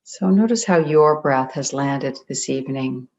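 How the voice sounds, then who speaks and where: A meditation guide leading a group in a gentle, deliberate way, leaving long pauses between phrases. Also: medium pitch. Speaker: meditation guide, spiritual coach. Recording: dull, muffled lo-fi audio.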